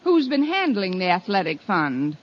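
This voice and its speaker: A woman playing a wry, sardonic high school teacher tossing off wisecracks in 1950s radio comedy style. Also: big pitch swings, normal speed. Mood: dismayed, wry. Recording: muffled, noisy 1950 radio recording.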